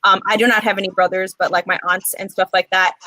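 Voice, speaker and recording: A young woman in her twenties, from Mexico and raised in the States, talks earnestly as a guest and stops often on "um". Her voice is bright and sits close and dry, with no room sound around it. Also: medium pitch, flat delivery, no room sound, rough recording. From Mexico, raised in the United States. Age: 20s. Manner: earnest, many "um" pauses. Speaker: guest poet. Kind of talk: casual interview chat.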